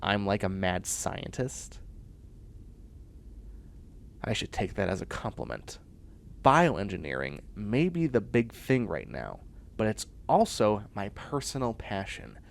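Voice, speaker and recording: Man acting as a snarky, animated young scientist, low-pitched. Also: slow pace, creaky, long pauses, heavily acted. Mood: smug. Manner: chatty, snarky, boastful, muttering asides and exclamations. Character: nerdy, self-assured young male bioengineering student in sci-fi future. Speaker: voice actor in audio roleplay. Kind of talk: comedic one-sided audio roleplay.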